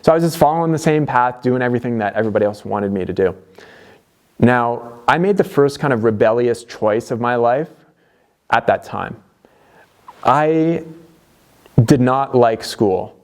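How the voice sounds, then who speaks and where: A man's voice: mid-range voice, expressive, normal speed, long pauses. Earnest Canadian man, chatty vlogger. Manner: earnest, conversational, many fillers and restarts. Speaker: DIY vlogger. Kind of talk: motivational talk to camera.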